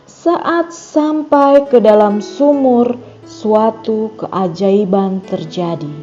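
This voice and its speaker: A woman narrating a film recap in a slow, measured, scripted reading style. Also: medium pitch, mellow, highs cut off, soft background music.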